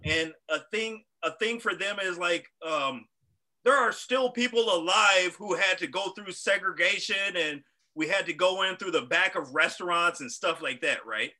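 A man's voice: high-pitched, normal speed, bright, forceful tone, rough recording. A man co-hosting a casual talk show, telling stories bluntly and swearing. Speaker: podcast co-host. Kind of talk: casual opinionated chat.

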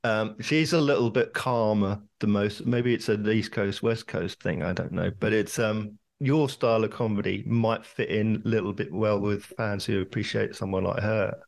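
A man hosting a podcast interview, asking questions hesitantly with many pauses and fillers. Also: on the low side, steady tone, vocal fry, a little mellow. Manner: hesitant, many "um"s, laughs along.

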